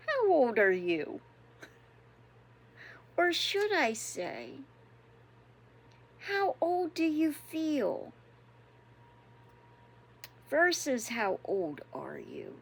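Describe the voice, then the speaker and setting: A woman in her sixties hosting a devotional channel, chatting casually and reflectively, unhurried and chuckling often. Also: high voice, very animated, creaky. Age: 60s. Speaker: devotional host.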